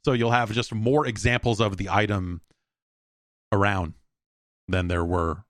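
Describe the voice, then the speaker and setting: A man's voice: lowish voice, fairly even intonation, a little slow, fairly bright tone, no room sound, clean studio sound. A man hosting a podcast solo, chatting casually in a rambling, opinionated, profane way. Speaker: podcast host answering listener mail.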